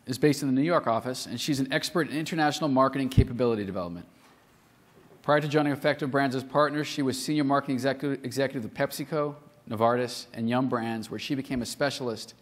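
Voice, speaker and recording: A man introduces the speakers at a conference, reading their biographies in a formal, measured way. His voice sits at an average pitch. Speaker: event host introducing speakers. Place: conference hall.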